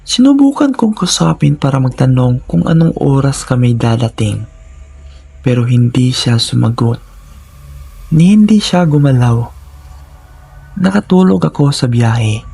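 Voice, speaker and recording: A man narrates a horror story with suspense and drama. His delivery is slow and his tone is dark and husky. The voice follows a scripted, first-person storytelling style. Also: mid-range voice, animated.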